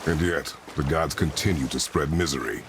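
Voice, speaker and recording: An actor playing a stern, weary warrior father in a video game, terse and commanding, with a gravelly rumble. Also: normal speed. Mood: grim. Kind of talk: video game cutscene acting.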